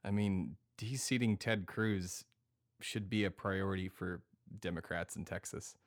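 A man playing a casual, sardonic podcast producer who talks hesitantly and deadpan in a scripted comedy. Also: deep voice, a little slow. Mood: earnest.

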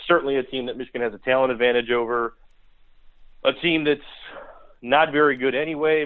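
An American man giving blunt, critical football analysis as a guest on a podcast, emphatic and repeating his points. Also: fairly low pitch. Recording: tinny phone-line sound.

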